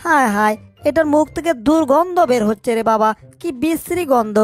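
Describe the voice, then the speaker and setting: A woman's voice: high-pitched, expressive, fairly quick, dry recording, faint music behind. A woman voicing a small, clever, anxious male mouse in a cartoon dub, fretting and exclaiming to himself. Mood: fussy, coaxing. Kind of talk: Bengali cartoon dub acting.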